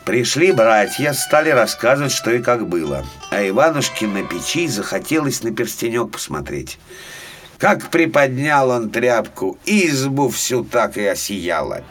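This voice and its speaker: A man narrating a folk tale for small children as an expressive, dramatic storyteller. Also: mid-range voice, a little slow. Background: faint music.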